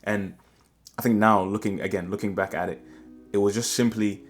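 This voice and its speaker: Deep-voiced man in his twenties. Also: steady tone, normal speed, faint music behind. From Ghana. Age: 20s. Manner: earnest and rambling, with restarts. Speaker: vlogger. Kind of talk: reflective personal monologue.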